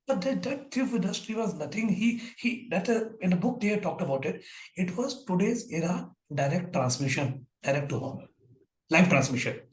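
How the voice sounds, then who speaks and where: A middle-aged man with an Indian English accent speaks in a husky, fairly high voice. He retells an old story in a loose, rambling way, full of "you know" fillers. His voice comes over a video call from an echoey room. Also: lively intonation, moderate pace. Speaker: guest.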